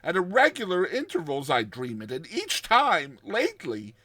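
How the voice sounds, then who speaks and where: A man's voice: fairly high pitch, wildly swooping pitch, moderate pace, sharp tone, no room sound, full character voice. A man playing a haunted, terrified man on the edge of madness in a dramatized horror story, speaking intensely and drawing out his words. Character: haunted, terrified man recounting a recurring nightmare, near madness.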